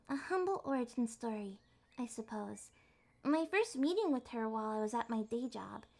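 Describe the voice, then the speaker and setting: A woman plays an adorkable young fox girl in an ASMR roleplay. Her voice is high, and she talks in prim, wordy phrases with flustered hesitations, trying hard to sound mature. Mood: awkward, defensive. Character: adorkable young adult female kitsune fox, flustered, primly formal. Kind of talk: ASMR roleplay, acted one-sided date conversation.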